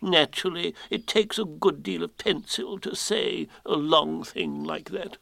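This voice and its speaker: Storybook owl, voiced by a male reader: self-important, slightly anxious, very slow and halting, pitched well up. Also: gravelly, pushed and bright, heavily acted. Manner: deliberate, halting, pompous. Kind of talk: children's storybook character voice.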